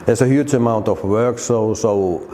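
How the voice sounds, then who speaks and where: Casting: middle-aged Finnish man, deep low voice, Finnish accent, hesitant repeats, long reverb of a hall. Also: mellow, slight background noise. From Finland. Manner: halting, many repeated words and restarts. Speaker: demolition company director. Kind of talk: interview answers.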